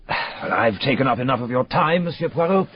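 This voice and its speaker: An actor playing a troubled, well-mannered gentleman in a radio drama, speaking in a polite, confiding, clipped way. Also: medium pitch, lively intonation, normal speed, husky, no echo. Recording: dull, roomless lo-fi radio recording.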